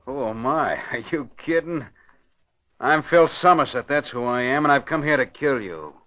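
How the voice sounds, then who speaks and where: A man's voice: medium pitch, animated, normal speed, lightly acted. A man with an American accent playing a vengeful, gloating gunman in an old radio crime drama, slangy and sneering. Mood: menacing gloating. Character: vengeful gloating male gangster with a gun. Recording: muffled old radio broadcast recording.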